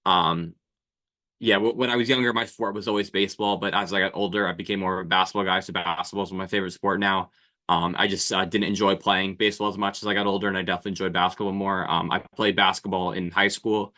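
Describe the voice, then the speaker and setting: A man's voice: deep voice, little pitch movement, quick pace, pushed and bright. A man in his twenties, a podcast guest chatting casually, hesitant with lots of fillers and restarts. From New Jersey. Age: 20s. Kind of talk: casual chat.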